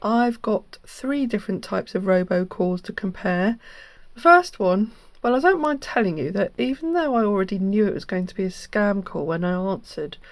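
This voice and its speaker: Female host, earnest explainer, medium pitch, dry sound. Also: normal speed, no room sound. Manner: earnest, instructive. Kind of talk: explanatory scam-awareness narration.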